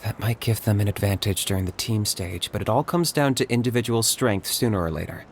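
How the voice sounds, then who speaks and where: A man's voice: on the low side, fairly quick, heavy background noise, lightly acted. A man playing a stern, blunt but protective young warrior in an anime game, terse and serious. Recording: music behind.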